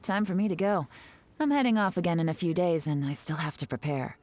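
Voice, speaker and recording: A woman's voice: on the low side, expressive, a little fast, darkish tone, lightly acted. A woman voicing a composed, calculating female spy in a video game, speaking in a wry, self-assured way. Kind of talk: game cutscene dialogue.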